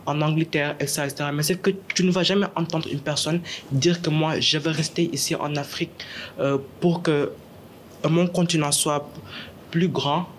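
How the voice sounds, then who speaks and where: A man's voice: on the high side, steady tone, normal speed, a little hiss or hum. A young man from Senegal speaking earnestly in a classroom book discussion, often repeating words as he searches for them.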